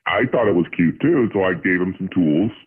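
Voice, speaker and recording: A man's voice: expressive, fairly quick, husky, phone-quality sound. A man calling into a radio show through a voice changer, casually telling a personal story over the phone. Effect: voice changer lowering the voice. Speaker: anonymous call-in listener.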